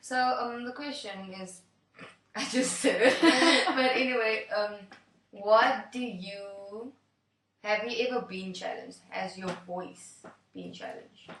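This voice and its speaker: A woman's voice: medium pitch, slow, a bit bright, roomy sound, distant mic, a little hiss or hum, poor audio. A young woman hosting a radio interview, chatty and giggly, with many fillers. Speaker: radio host.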